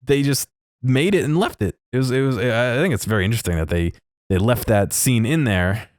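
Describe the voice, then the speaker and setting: A man's voice: medium pitch, fairly quick, dry recording, clean studio sound. A man giving casual, unscripted video game commentary, hesitantly thinking aloud as he goes.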